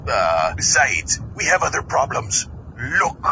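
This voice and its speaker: A man's voice: medium pitch, sing-song, slow, noisy background. A man playing a boozy, crude pirate sea captain in a comic audio drama, blustering and theatrical. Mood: urgent bluster. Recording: dull lo-fi recording under loud music. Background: loud music.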